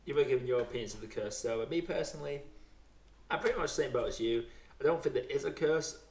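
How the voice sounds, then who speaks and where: A man's voice: medium pitch, fast, a little mellow. Male podcast host, casual film chat, echoey room. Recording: roomy, echoey sound.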